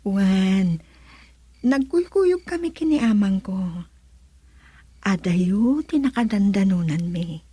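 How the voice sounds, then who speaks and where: An actress playing a soft, eerily vague young woman in an Ilocano comedy radio drama, with a Filipino accent and an unhurried, hesitant delivery. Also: medium pitch, lively intonation, slightly rough, no echo. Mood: vague, calm.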